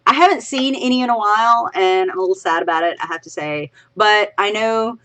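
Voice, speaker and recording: A woman's voice: mid-range voice, brisk, a bit bright, rough recording. A woman from Louisiana with a Southern accent, co-hosting a casual livestream, rambling chattily and a bit tipsy. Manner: chatty, rambling, giggly asides. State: tipsy from drinking. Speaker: podcast co-host.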